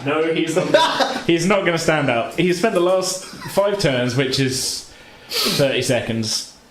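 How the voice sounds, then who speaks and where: A man's voice: a little high, animated, takes it slowly, fairly bright tone, reverberant, slight background noise. A man running a tabletop game as game master, narrating in a loose, chatty way and laughing often.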